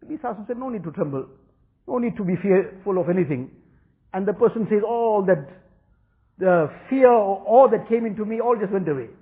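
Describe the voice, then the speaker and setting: Urdu-speaking guy preaching earnestly, fairly high voice, sounds tinny like a phone line. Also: animated, fast. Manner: earnest, repeats phrases for emphasis. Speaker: religious teacher. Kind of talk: Islamic talk.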